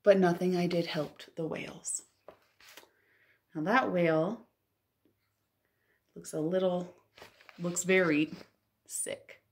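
A woman in her thirties, a children's librarian, reads a picture book aloud and chats to young listeners with expressive wonder and drawn-out emphasis. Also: fairly low pitch. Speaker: children's librarian hosting storytime. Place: living room at home, indoors.

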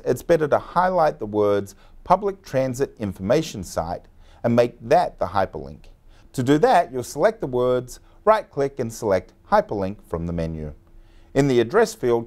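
Lively-voiced man teaching software. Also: medium pitch. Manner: clear, instructive, step-by-step. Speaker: software trainer. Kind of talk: scripted tutorial narration.

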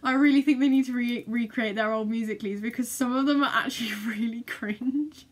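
A woman's voice: a little high, steady tone, fairly quick. A young woman chatting casually in a gushing, giggly, exclamatory way, as a vlogger reacting to videos. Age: young adult. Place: small bedroom, indoors. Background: music from videos being watched.

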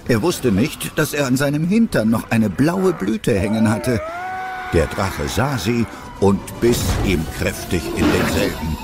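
A man's voice: fairly low pitch, animated, unhurried, very noisy. A man narrating a scripted children's adventure story as its narrator.

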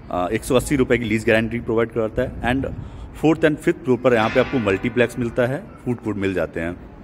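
A man with a voice at an ordinary pitch, walking outdoors through a building site while he pitches a property. He explains steadily and drops English terms into his sentences. A faint hum of traffic runs behind him. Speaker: real estate agent.

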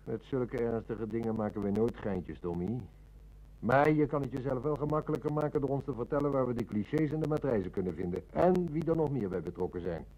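An actor with a Netherlands Dutch accent playing a stern, shrewd police inspector in an old radio drama, speaking with dry authority. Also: male voice, mid-range voice, animated, a little fast, dark tone, rough recording.

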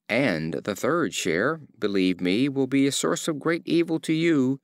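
A man's voice: mid-range voice, no room sound, studio quality. A man voicing a domineering, threatening lion king in a storybook fable.

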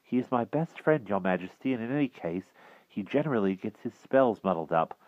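A man's voice: fairly low pitch, expressive, a little fast, no echo, narrow, tinny audio, voice pushed up, close to an everyday voice. A man voicing an eager, courteous young boy, a magician's pupil in a storybook, with earnest politeness. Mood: reassuring.

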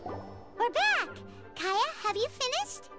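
Tiny childlike anime fairy, squeaky, excitable, cheeky, slow-paced, loud children's music behind. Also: female voice, full character voice. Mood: eager. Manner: exclamatory, whiny. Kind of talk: anime video game voice acting.

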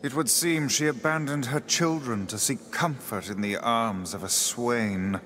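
A man's voice: mid-range voice, unhurried, fairly bright tone, close to an everyday voice. A man voicing a pompous, sardonic talking magical book in a video game, with formal, archaic diction. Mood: grave, sardonic. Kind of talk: dramatic game voice acting.

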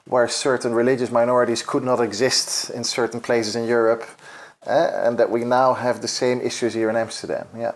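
A man's voice: mid-range voice, little pitch movement, fairly quick. A middle-aged man answering interview questions in a thoughtful, hedging way with many fillers. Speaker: event participant interviewed.

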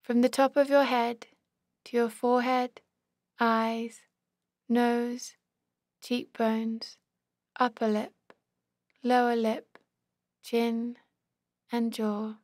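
A woman guides a body scan meditation in a calm, measured way. Her voice sits a little high and stays very level, with little rise or fall. She gives short instructions in a close, very dry recording. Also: dry recording.

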